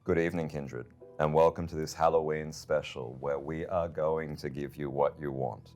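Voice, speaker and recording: Middle-aged male host, extremely low voice, scripted promo intros over faint music. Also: steady tone, normal speed, a little mellow. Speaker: presenter. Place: furnished lounge set indoors.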